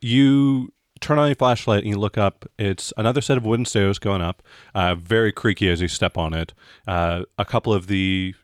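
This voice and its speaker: Guy game mastering a tabletop rpg, lowish voice, dry close sound, halting casual descriptions. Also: dry recording. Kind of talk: improvised narration of a tabletop game.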